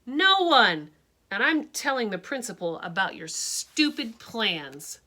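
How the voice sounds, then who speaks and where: A woman voicing a taunting schoolboy bully in a storybook read-aloud, sneering and then shouting. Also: fairly high pitch, very animated, takes it slowly, pitched above the performer's own voice, heavily acted.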